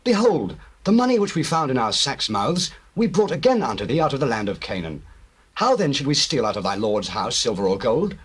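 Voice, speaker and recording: Husky, animated, anxious spokesman. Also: medium pitch, a little fast. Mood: anxious protest. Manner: animated, earnest, protesting. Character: anxious Hebrew brother, humble spokesman. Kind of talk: dramatized scripture, stage acting.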